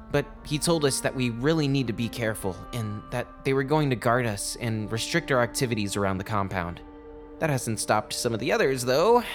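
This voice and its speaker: Conversational male narrator over faint music. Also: medium pitch. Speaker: audio drama performer and show presenter. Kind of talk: first-person journal narration and show announcement.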